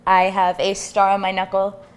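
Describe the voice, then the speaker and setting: A woman's voice: medium pitch, monotone, moderate pace, over music. A young adult woman casually listing her tattoos in an interview. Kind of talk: interview answers.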